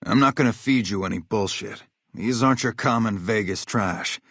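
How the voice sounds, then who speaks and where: An actor playing a hard-bitten, vengeful military officer in a video game, blunt, profane and matter-of-fact. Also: male voice, on the low side, normal speed, fairly bright tone, no echo, studio quality. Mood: stern, businesslike. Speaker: game character, army officer.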